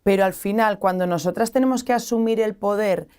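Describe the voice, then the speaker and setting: A woman's voice: medium pitch, brisk, a little mellow, clean tone. A woman from San Sebastián with a strong Basque Spanish accent, a guest in a studio interview who lays out long, reflective arguments. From San Sebastián, Spain. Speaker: guest, association founder.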